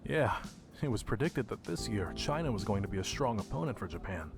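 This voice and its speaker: Creaky, low-voiced calm male student. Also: brisk, faint music behind. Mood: calm, friendly. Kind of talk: visual novel game voice acting.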